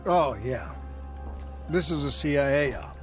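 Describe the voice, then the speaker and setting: An actor playing an earnest, driven young cyber soldier in a video game cutscene. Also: male voice, a little high, a little slow, natural voice. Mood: weary, matter-of-fact. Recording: music behind the voice.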